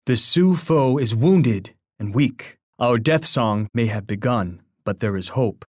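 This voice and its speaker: An actor voicing a solemn, dignified war leader in a video game, speaking in grave, formal, poetic oratory. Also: male voice, mid-range voice, animated, no echo, studio quality. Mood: grave, hopeful. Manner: measured, grave, formal declamation.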